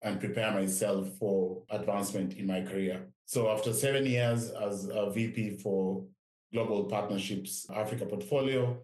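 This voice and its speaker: A man's voice: on the low side, fairly even intonation, moderate pace, a little mellow. A middle-aged man with a Kenyan accent, talking reflectively as an interview guest. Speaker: podcast guest, investment director. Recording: roomy, echoing sound.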